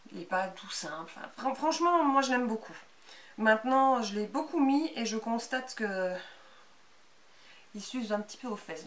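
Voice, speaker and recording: A woman in her thirties with a Belgian French accent, giving a casual, unscripted, chatty show-and-tell as a sewing vlogger. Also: medium pitch. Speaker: sewing vlogger presenting handmade clothes. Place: small bright indoor sewing room. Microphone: camera a couple of metres away. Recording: distant camera mic with background noise.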